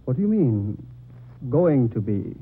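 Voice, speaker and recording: A man's voice: mid-range voice, sing-song, unhurried, breathy edge. An actor playing a mild, droll young man in an old-time radio drama, polite and arch. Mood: curious, solicitous. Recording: tinny, noisy old radio recording.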